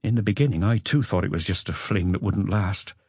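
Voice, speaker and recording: A man performs an educated Frenchman in an audiobook, confessing his past in a measured, reflective way. The voice is fairly low and husky, recorded close and dry, and tinged with regret. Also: darkish tone, no echo. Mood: wistful, confessional. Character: educated Frenchman looking back with regret on a passionate marriage. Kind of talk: audiobook character dialogue.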